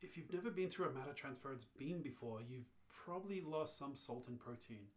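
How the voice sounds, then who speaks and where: Man acting a brisk alien hitchhiker, mid-pitched, matter-of-fact, unfazed guide, radio play. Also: close to an everyday voice. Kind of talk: radio play read-through.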